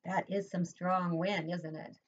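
An older woman and preschool teacher reading a picture book aloud at home, pausing to ask the children questions. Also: lowish voice. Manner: expressive read-aloud, asks children questions. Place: home room, indoors. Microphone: webcam at desk. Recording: dull lo-fi webcam audio.

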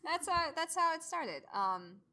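Bright-voiced young woman presenting informally. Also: very high voice, very animated, a little slow, a little hiss or hum. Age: early 20s. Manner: self-deprecating, rambling. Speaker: student audio drama creator giving a presentation.

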